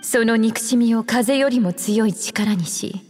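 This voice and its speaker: A knowing witch librarian from an anime game. Her fairly high voice is composed and alluring. It rings with long reverb over faint music. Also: a little slow, darkish tone. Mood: grave, explaining. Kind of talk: Japanese anime game dialogue, explaining lore.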